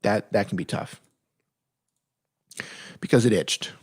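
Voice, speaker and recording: A man's voice: fairly low pitch, slow, darkish tone, slightly rough. A man hosting a live-stream Q&A, giving plain-spoken, direct advice in a casual, conversational way and often correcting himself mid-sentence. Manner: reads viewer questions aloud, then answers conversationally. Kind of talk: casual live-stream chat answering viewer questions.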